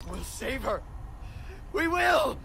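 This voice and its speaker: A man's voice: sing-song, takes it slowly, creaky, full character voice. A man voicing a young, driven superhero in a video game, earnest and urgent, with a quippy streak. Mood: desperate. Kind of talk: video game cutscene voice acting. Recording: loud music and rumble behind voice.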